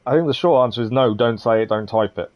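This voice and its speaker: A man's voice: mid-range voice, quick pace. A man live streaming a game, talking casually with his chat in a conversational, explanatory way. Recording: slightly dull mic over game music.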